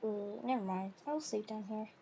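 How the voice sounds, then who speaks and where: A woman's voice: mid-range voice, moderate pace, dark tone, slight background noise, close to an everyday voice. A woman voicing an unimpressed thirty-year-old dad in an amateur family role-play, casual and scoffing. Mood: grudging.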